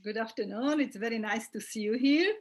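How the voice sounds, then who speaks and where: A woman's voice: fairly high pitch, brisk. A middle-aged woman from Slovakia with a Slovak accent, a holistic well-being coach talking earnestly as a guest in an online interview. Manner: earnest, with small restarts and fillers. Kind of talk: casual interview chat.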